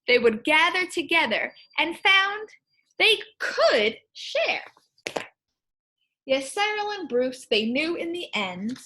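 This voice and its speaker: Slow, high-voiced woman reading to children. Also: low-quality recording. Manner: expressive, questioning, explains simply to children. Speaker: primary school teacher. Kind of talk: children's picture book read-aloud with teaching asides.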